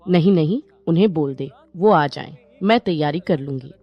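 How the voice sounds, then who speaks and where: A woman voicing a worried, homely mother in an animated moral story, speaking earnestly. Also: deep voice, normal speed, highs cut off. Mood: hurried, eager. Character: worried, homely mother seeking a match for her daughter.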